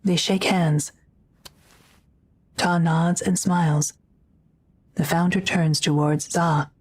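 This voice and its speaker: A woman's voice: lowish voice, steady tone, slow, slightly rough. A woman reading scripted narration for an audio drama, describing the action as narrator.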